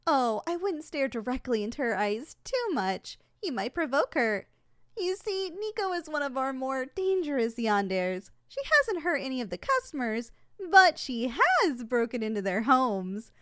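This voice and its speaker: High, courteous saleswoman, played by a woman. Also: big pitch swings, a little slow, fairly bright tone, no room sound, studio quality, heavily acted. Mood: polite, salesy. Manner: formal, courteous, matter-of-fact about danger. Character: polite, unflappable saleswoman giving a yandere shop tour. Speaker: voice actor in audio roleplay. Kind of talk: ASMR roleplay, scripted sales patter.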